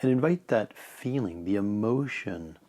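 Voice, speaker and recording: A man leading a guided meditation in a calm, measured way, letting phrase ends trail off softly. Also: on the low side.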